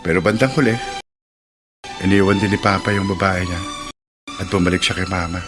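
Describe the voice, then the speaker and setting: A gentle, patient husband, acted by a man in a radio drama. His fairly low voice is earnest and soothing, set over a prominent music bed. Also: fairly even intonation, a little fast, lightly acted. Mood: earnest, persuading. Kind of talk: Filipino radio drama acting. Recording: loud music bed under voice.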